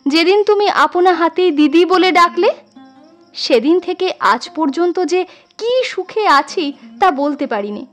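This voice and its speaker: A woman's voice: very high-pitched, animated, a little slow, faint music behind, voice pushed up. A woman voicing a doting, sentimental village sister-in-law in a story reading, affectionate and emotional. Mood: tearful, tender.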